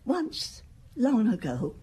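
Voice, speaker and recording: An actress playing an elderly widowed princess in a radio drama, genteel, measured, frank and wistful. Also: female voice, on the high side, wildly swooping pitch, mellow, slightly rough, heavily acted. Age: older. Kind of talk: classic radio drama acting. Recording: noisy old radio broadcast.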